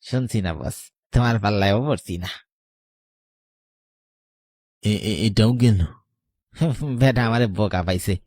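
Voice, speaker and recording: A man's voice: on the low side, normal speed, a little mellow, no echo, clean studio sound, natural voice. A man voicing a tipsy, cocky village man in a horror cartoon, rambling and suspicious, with a rural Bengali dialect. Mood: cocky, mocking.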